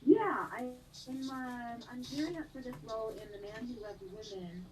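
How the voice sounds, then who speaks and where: A mellow-voiced woman chats eagerly as a guest actress, laughing through her stories. Her voice sounds set back from the mic, with faint noise behind it. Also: a little high, normal speed, distant mic, poor audio. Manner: animated, chatty, laughs often. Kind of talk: live-stream interview chat.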